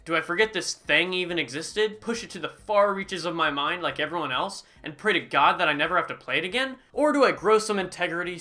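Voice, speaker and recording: A young man narrates a comedic game review voice-over in a high, sharply bright voice. His delivery is sarcastic and swings widely in pitch, with sudden exaggerated outbursts between dry asides. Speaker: gaming YouTuber.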